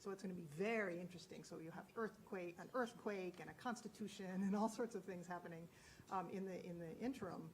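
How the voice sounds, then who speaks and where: A woman's voice: fairly low pitch, fast talker, slight background noise. A woman political scientist speaking on a panel, thoughtful, with occasional false starts. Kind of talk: academic panel discussion.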